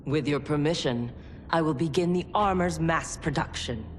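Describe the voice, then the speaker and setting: An actress playing a stern, scheming ninja mentor and armorer in a video game, firm and formal in manner. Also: female voice, deep voice, normal speed, a little hiss or hum, lightly acted. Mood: deferential, formal. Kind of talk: dramatic video game cutscene acting. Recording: long hall reverb. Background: faint music.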